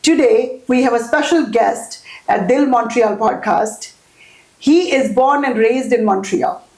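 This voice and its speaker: A woman's voice: fairly high pitch, unhurried, darkish tone. A woman hosting a podcast, welcoming her guest and interviewing him with enthusiasm. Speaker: podcast host. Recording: echoey room.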